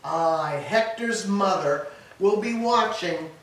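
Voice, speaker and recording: A woman's voice: fairly low pitch, takes it slowly. A woman playing a grieving, proud old enslaved queen, declaiming laments in a staged reading of Greek tragedy. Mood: weary lament. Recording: distant, echoey hall with background noise.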